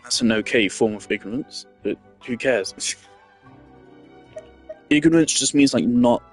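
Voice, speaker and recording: A man's voice: medium pitch, a little slow, breathy edge. A man giving casual, rambling game commentary, musing hesitantly and trailing off. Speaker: game streamer. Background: game music.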